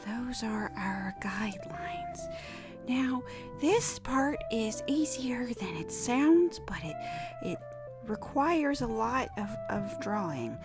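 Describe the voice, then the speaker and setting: A woman playing an awkward, wistful drawing teacher on a macabre comedy podcast, speaking in a halting, deliberate way. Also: a little high, animated. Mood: halting, patient. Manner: halting, deliberate, hesitant instructor delivery. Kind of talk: scripted horror-comedy podcast monologue, mock drawing lesson. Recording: music behind the voice.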